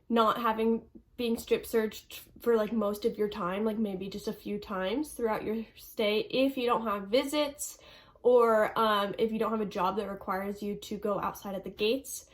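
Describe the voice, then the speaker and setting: A woman's voice: mid-range voice, fairly even intonation, a little slow. Stuffy-nosed young woman chatting casually. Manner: chatty, lots of ums and likes. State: stuffy nose. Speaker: vlogger. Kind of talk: casual Q&A vlog.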